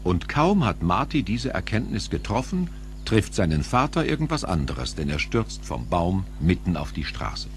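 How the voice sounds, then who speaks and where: A man's voice: sits low, sing-song, normal speed, a little hiss or hum. A man narrating a scripted audio play in a dramatic storytelling manner.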